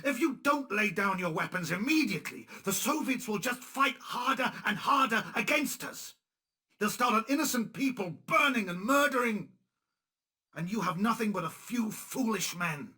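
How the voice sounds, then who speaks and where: A man's voice: sits high, lively intonation, moderate pace, bright, forceful tone, breathy edge, full character voice. A man voicing a weary, bitter war veteran who narrates this game's story in a grave, reflective way. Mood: heated. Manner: grave, reflective narration, heated when arguing. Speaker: narrator and main character. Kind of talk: game cutscene narration and dialogue.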